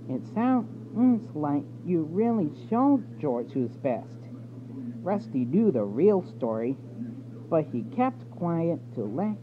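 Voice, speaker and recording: Storybook reader, male, higher voice, slow halting delivery, phone-like noisy recording. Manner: halting, stumbling reading. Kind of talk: reading a children's storybook aloud. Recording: tinny phone-like audio with background noise.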